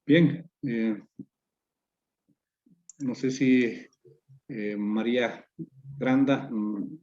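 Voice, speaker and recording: A man with a dark, mellow voice leads the introductions for an online course and pauses often between halting phrases. The sound is dull and lo-fi, as over a video call. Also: mid-range voice. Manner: hesitant, many fillers and restarts. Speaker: course organizer and moderator.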